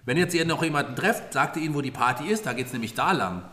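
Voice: mid-range voice, lively intonation, quick pace, fairly bright tone. An interviewer in a playful improvised audio play with children, reacting with amazement and echoing their answers in an encouraging way.

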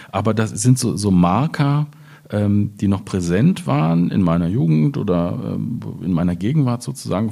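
A man in middle age from the Ruhr area in Germany, speaking as a poet in a reflective literary talk, thoughtful and halting as he searches for words. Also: on the low side, vocal fry, dark tone, breathy edge. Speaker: poet discussing his work.

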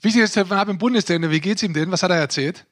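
Quick, higher-voiced man bantering. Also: fairly bright tone. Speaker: podcast co-host. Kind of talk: casual banter.

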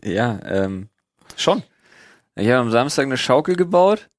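A man's voice: mid-range voice, very animated, a little slow, no room sound, studio quality. A man co-hosting a podcast in casual chat, blunt and slangy with frequent exclamations. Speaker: podcast co-host.

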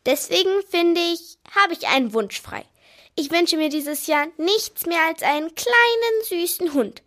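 A woman's voice: very high-pitched, animated, unhurried, a bit bright, heavily acted. An actress playing an eager, pleading nine-year-old girl in a children's radio play. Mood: earnest, hopeful. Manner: insistent, childlike.

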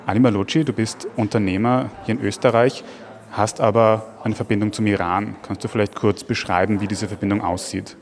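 A man interviews a guest for a news podcast. His voice sits somewhat low, and he puts his questions briskly and matter-of-factly. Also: steady tone. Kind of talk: podcast interview and scripted intro.

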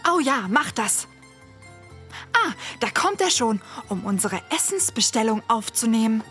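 High-voiced, caring Mira. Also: female voice, sing-song, measured pace, fairly bright tone, faint music behind. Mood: sympathetic. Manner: sympathetic, earnest. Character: Mira, caring, kindly young friend. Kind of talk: children's audio play acting.

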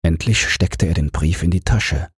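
A man with an extremely low, husky voice reads literary prose for a bedtime audiobook. His delivery is calm and measured, recorded very dry and close. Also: no echo. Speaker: audiobook narrator.

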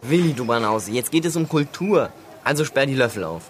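Man acting as bookish teenage boy, didactic, impatient, children's radio play, medium pitch, some background noise. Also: animated, fairly quick, natural voice. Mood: scolding. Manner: didactic, reciting facts. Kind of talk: German children's audio drama acting. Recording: clear noise, played-back radio play.

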